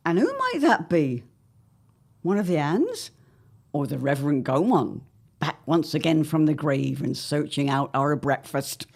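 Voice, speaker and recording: A woman acting an anxious, fanciful farm wife of the early 1900s in an audio drama, with formal, dramatic diction and a husky voice. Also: low-pitched, big pitch swings, a little slow, a little mellow, exaggerated voice. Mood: playful.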